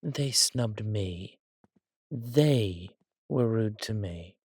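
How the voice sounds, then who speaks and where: A husky, breathy villain king, acted by a man, drawling slowly in a dry, close recording. He is arrogant and sardonic, a theatrical sulker with a cruel streak, in the manner of a roleplay audio drama. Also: on the low side, animated, darkish tone, no room sound, exaggerated voice. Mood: defensive, sardonic. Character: arrogant, petulant villain king, cruel yet doting on his consort.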